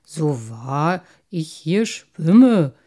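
Woman voicing a slow, bubbling storybook carp. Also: low-pitched, expressive, dark tone. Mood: solemn, sincere. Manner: deliberate, bubbling blub interjections between phrases. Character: slow, thoughtful old-fashioned male carp, loyal and easily moved. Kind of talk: storybook character voice.

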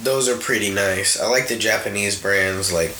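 A man's voice: on the low side, normal speed. A young man with a cold and a stuffy nose, sounding nasal, chatting casually about sneakers and saying "like" a lot. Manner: relaxed, lots of "like". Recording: echoey room with background noise.

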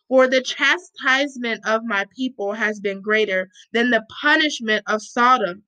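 A woman's voice: a little high, sharp tone, poor audio. A woman reading scripture aloud with casual, informal asides, often stopping to correct herself.

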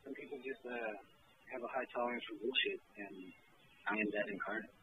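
A man's voice: medium pitch, fairly even intonation, fairly quick. A man being interviewed about his music, talking in a laid-back, rambling way full of fillers. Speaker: musician guest. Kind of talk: casual interview chat. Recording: tinny phone-like line with faint noise.

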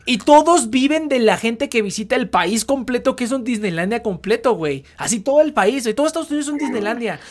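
A speaker with a Mexican accent, chatting casually among friends, rambling and excitable with heavy slang. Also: mid-range voice, fast, few pauses.

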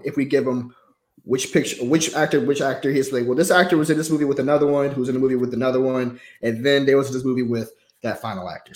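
A man hosting a casual podcast, explaining a game and talking to the live chat in an upbeat way. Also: mid-range voice. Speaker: podcast host. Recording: roomy echo.

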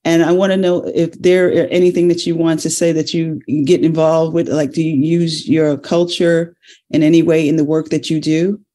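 A man hosts the interview, asking long questions that wander and restart as he searches for words. His voice is mellow and warm, sitting a little high for a man. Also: flat delivery, normal speed. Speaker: host.

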